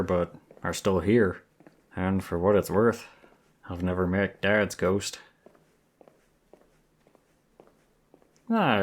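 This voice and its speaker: A man plays a friendly male werewolf guide with an easygoing Southern American drawl. His voice sits fairly low and moves very slowly. He is folksy and flirtatious, talking intimately to one listener as in an ASMR roleplay. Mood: reflective, gentle.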